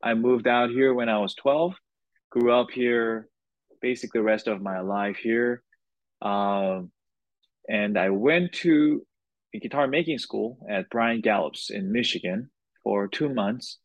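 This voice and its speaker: A man from Korea, a guitar maker being interviewed, answering in an unhurried way with short phrases and pauses. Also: mid-range voice, no echo, poor audio.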